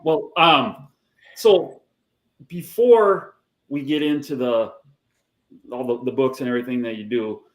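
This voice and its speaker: A man's voice: mid-range voice, a little slow, long pauses. A man with a Wisconsin accent hosting a casual live stream, friendly and full of questions. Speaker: host. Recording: echoey room.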